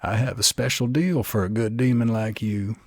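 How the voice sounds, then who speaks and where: A man's voice: lowish voice, fairly even intonation, moderate pace, darkish tone, no room sound, clean studio sound, pitched above the performer's own voice. A man voicing a funny little old trader from a bedtime storybook, chirpy and persuasive. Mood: friendly, flattering. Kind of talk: storybook character in bedtime audio.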